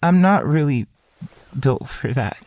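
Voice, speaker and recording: An actor playing a shy, guarded young male writer in an audio drama, speaking in a hesitant, halting way. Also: on the high side, expressive, darkish tone, no echo. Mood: guarded, nervous. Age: young adult.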